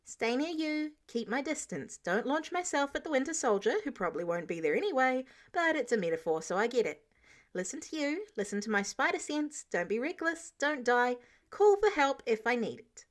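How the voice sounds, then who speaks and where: A woman's voice: high-pitched, sing-song, moderate pace. A woman voicing a stubborn, earnest teenage boy who argues his case in an audio story. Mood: grudging. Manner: earnest, argumentative, cheeky.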